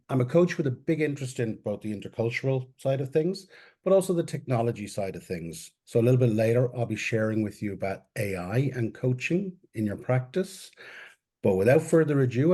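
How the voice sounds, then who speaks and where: A man from Ireland, a coach, introducing himself in a relaxed, casual way on a video call. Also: mid-range voice. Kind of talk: online webinar introduction.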